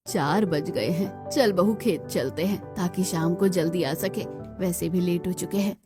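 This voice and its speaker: A woman's voice: lowish voice, unhurried, music in the background, close to an everyday voice. A woman voicing a kind older village mother-in-law in a cartoon story. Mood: brisk.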